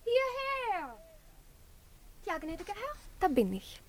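A woman's voice: very high voice, sing-song, slow, crowd noise, full character voice. An actress playing a shy, obedient young peasant girl in a radio play. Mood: shy, eager. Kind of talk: German radio play acting.

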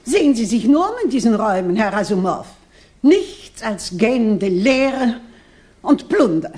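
An actress playing a theatrical, aggrieved society Madame in a radio play, speaking in a grand, affected, lamenting manner. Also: female voice, fairly high pitch, sing-song, a little slow, darkish tone. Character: theatrical, aggrieved aristocratic Madame, woman, querulous. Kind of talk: German radio play acting.

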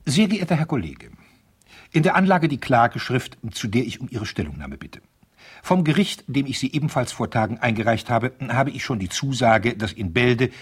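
A pedantic, self-important lawyer in a vintage radio play. His voice is very husky and gravel-edged, and it swings emphatically up and down through formal, officious legal phrases. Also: medium pitch. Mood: officious, smug. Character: pompous, pedantic male divorce lawyer, stiffly indignant. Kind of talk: 1970s German radio play, letters read aloud.

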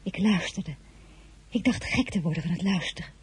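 An actress playing a tender, emotional royal wife in an audio drama, with a soft, breathy voice and an intimate way of speaking. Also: female voice, lowish voice, expressive, moderate pace, background noise, close to an everyday voice. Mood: tense, reflective. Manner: intimate, hushed, feeling-laden. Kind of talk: audio drama acting with first-person narration.

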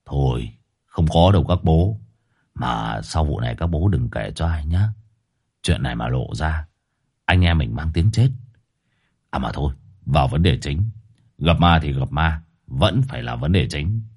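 A man voicing a relaxed gang boss who talks low and conspiratorially. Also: measured pace, long pauses, husky, exaggerated voice. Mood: confiding. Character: laid-back middle-aged male gang boss.

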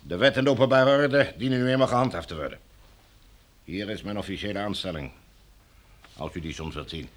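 A military sector commander in a radio drama, his voice on the low side. He speaks as heard in the Netherlands, formally and officiously, with a smooth, domineering menace. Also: expressive, fairly quick, pauses often. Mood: officious, imperious.

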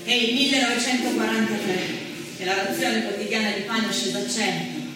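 A woman's voice: mid-range voice, a little fast, close to an everyday voice. A woman performing as an earnest chronicler of women's wartime history, delivering scripted theatrical narration emphatically from a stage, heard at a distance. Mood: urgent, projected. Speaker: stage actress in a two-woman theatre play. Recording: very echoey stage, distant, noisy.

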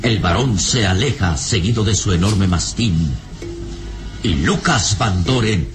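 A man's voice: lowish voice, measured pace, music in the background. A man narrating a radio serial in a dramatic, scripted style.

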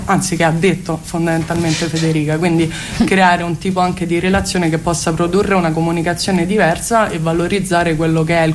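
A woman explains things as a radio interview guest, discursive and often rephrasing herself. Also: lowish voice. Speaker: guest representing a cultural collective. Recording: faint background noise and ambience.

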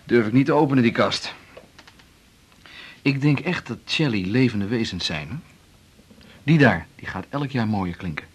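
An actor with a Netherlands Dutch accent playing a playful, romantic cellist hosting a dinner date in a 1980s radio drama. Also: male voice, mid-range voice, expressive, normal speed, long pauses. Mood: musing, playful. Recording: 1980s radio recording, clear background noise.

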